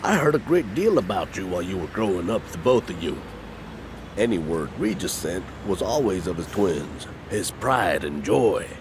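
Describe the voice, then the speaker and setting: A man acting in an audio drama as a gentle, wise older family friend with a measured, folksy manner. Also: deep voice, sing-song, noisy background. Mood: fond, welcoming.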